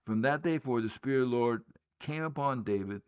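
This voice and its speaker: A man's voice: medium pitch, fairly quick, a little mellow, smooth, highs cut off. A man from West Africa, born in Liberia and raised in Guinea, preaching a sermon, telling a story earnestly and asking rhetorical questions.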